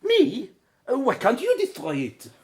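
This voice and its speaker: A woman's voice: sits high, huge pitch swings, a little slow, a little mellow, heavily acted. A woman playing a reluctant, sulky and timid underling in a radio drama, grudging and defensive. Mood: reluctant, nervous. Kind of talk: audio drama acting.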